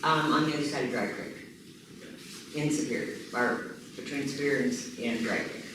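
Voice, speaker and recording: A woman running for county commissioner, speaking energetically and emphatically at a community meeting. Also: rumbling low. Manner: energetic, emphatic, lists things rapidly. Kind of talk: campaign talk at a community meeting. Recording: echoey room, distant mic, background noise.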